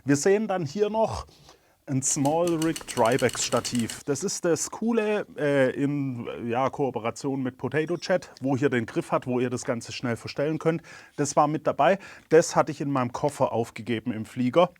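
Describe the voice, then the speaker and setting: A man's voice: mid-range voice, moderate pace, darkish tone, no echo. A man with a cold, candidly talking through his camera gear in a casual review. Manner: candid, chatty, plain-spoken. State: has a cold.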